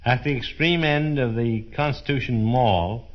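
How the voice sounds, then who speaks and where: An American man speaking as a tour guide in a scripted 1930s radio presentation, formal, measured and declamatory. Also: medium pitch. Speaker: fair official acting as tour guide. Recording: dull, muffled 1930s radio broadcast.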